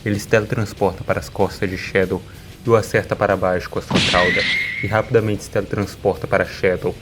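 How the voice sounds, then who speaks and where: A man's voice: low-pitched, flat delivery, quick pace, loud music behind the voice. A man with a Brazilian accent reading dramatic scripted narration.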